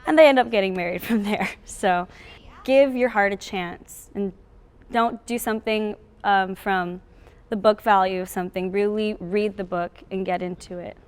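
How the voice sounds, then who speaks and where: A woman's voice: mid-range voice, steady tone, moderate pace, clean tone, long reverb tail. A teenage female student actress talking casually in an interview about her part. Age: teens.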